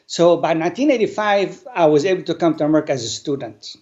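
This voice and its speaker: A man, an English speaker, telling his life story earnestly and deliberately as an interview guest. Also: fairly high pitch, expressive, darkish tone. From Lebanon. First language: not English. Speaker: guest, business owner. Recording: dull lo-fi sound.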